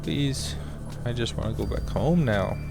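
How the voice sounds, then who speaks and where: Slow-talking, slightly scratchy male game streamer. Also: medium pitch, animated, vocal fry. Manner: rambling, muttering to himself. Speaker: small gaming streamer. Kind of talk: casual gameplay commentary, thinking aloud. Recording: music behind the voice. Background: game music.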